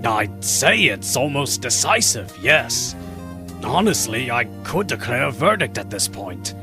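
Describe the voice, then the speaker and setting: A man voices a fussy old judge with a gravelly voice that jumps all over the place, stammering whenever he's pushed around. Clear courtroom music plays under him. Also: mid-range voice, big pitch swings, measured pace. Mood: flustered. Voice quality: stammering, easily flustered. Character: flustered, timid older male judge. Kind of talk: fan audio drama acting.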